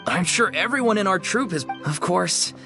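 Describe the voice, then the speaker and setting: An actor playing an earnest, downcast organizer of an amateur theater troupe in a video game, speaking politely and hesitantly. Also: male voice, sits high, lively intonation, normal speed, music in the background. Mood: surprised, earnest. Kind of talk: animated game voice acting.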